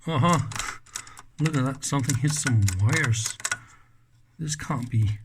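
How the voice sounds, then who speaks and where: A man's voice: mid-range voice, a little slow, background noise. A man doing casual, hands-on teardown commentary, thinking aloud and openly admiring the equipment. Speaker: electronics teardown host.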